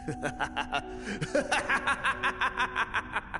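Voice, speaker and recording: A man's voice: high-pitched, sing-song, drawn-out words, exaggerated voice. An actor playing a sly, menacing crime boss in a video game, mocking and swaggering in his slangy talk. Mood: mocking menace. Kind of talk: dramatic video game voice acting. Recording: long hall reverb, loud music behind.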